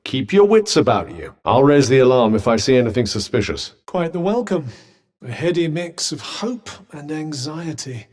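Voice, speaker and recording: A man's voice: mid-range voice, big pitch swings, normal speed, darkish tone. A man with a British accent voicing a haughty, erudite magical cuff in a fantasy video game, with polished diction and dry sarcasm. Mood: advising, wry. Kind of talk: scripted game companion dialogue.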